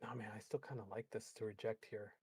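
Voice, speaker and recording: A man's voice: lowish voice, steady tone, quick pace. A man hosting a live trading stream, talking through charts and chatting with an easy laugh. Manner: chatty, laughs easily, thinks aloud. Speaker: host trader.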